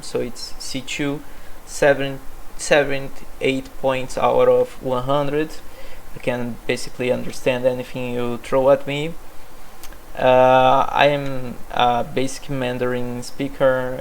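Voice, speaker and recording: A man's voice: medium pitch, vocal fry, slight background noise, poor audio. A young man from Brazil, a native Portuguese speaker, presenting his developer background in English with many fillers and restarts. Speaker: software developer. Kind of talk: self-presentation monologue.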